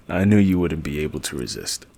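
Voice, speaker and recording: A man playing a learned, guarded older mage in a fantasy audio drama, speaking formally and deliberately in a gravelly, husky voice. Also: extremely low pitch, a little mellow, full character voice. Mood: grave, confiding. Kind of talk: fantasy audio roleplay acting.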